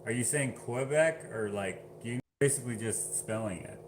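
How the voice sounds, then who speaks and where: A man's voice: medium pitch, normal speed, noisy background. A man casually chatting on a livestream, reading viewer comments aloud and laughing often. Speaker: livestream host.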